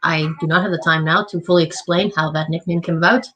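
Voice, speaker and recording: A woman's voice: fairly low pitch, fairly even intonation, fast talker, a bit bright. A woman guest on a casual group podcast, joking and playful.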